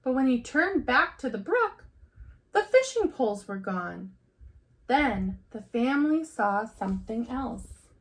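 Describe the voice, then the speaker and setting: Slow, high-voiced woman reading to children. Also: sing-song, fairly bright tone, poor audio. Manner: slow, deliberate storytelling delivery for young children. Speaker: teacher reading aloud to children. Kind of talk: expressive picture-book read-aloud with questions to listeners.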